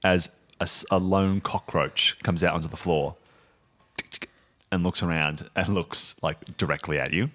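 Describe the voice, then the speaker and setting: A man improvising narration as the game master of a comedy tabletop game, in an animated, giggly manner. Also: deep voice, unhurried. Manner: animated, frequently breaking into laughter.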